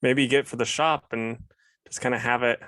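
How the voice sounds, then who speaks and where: A man's voice: medium pitch, steady tone, a little fast, a bit bright, dry recording. A man co-hosting a podcast, chatting casually in a laid-back, rambling way. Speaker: podcast co-host. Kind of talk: casual chat.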